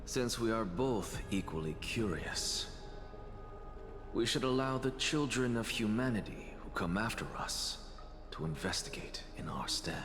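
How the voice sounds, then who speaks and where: A man's voice: lowish voice, lively intonation, a little slow. An actor playing a wry, philosophical man in a video game, teasing and musing as he speaks. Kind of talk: dramatic game voice acting. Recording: loud music behind the voice. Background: game background music.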